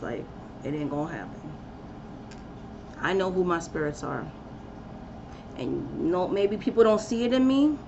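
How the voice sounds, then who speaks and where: A woman's voice: lowish voice, lo-fi sound, noisy background. A woman giving a passionate, preachy talk to camera, emphatic and blunt as she rallies her listeners. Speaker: spiritual practitioner giving a talk. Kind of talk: impassioned personal rant to camera.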